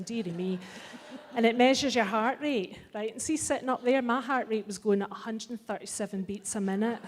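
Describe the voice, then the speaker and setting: A middle-aged Scottish woman giving a blunt, wry and impassioned speech to a live audience. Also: medium pitch. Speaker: festival speaker. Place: large hall. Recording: long hall reverb.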